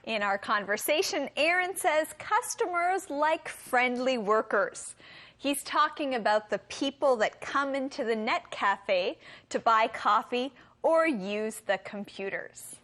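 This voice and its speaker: امرأة بصوت مرتفع وإلقاء متمهل. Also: female voice, high-pitched, expressive, a little slow, fairly bright tone. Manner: careful enunciation. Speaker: co-host of an English lesson show. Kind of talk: scripted teaching, simple clear explanations.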